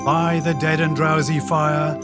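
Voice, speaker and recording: A man plays a commanding fairy monarch in lilting stage verse. His voice is high for a man and slow, with music clearly heard beneath it. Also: flat delivery, close to an everyday voice. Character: regal fairy king calling spirits to dance. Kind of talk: lilting theatrical verse, radio play.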